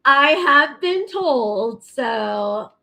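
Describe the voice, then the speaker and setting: A woman in her thirties chatting as a talk show guest, bubbly and laughing between her stories. Her voice sits a little high and she takes her time, drawing out her words. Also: expressive, drawn-out words, bright, forceful tone. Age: 30s. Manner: bubbly, laughs often, chatty with many fillers. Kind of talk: casual chat.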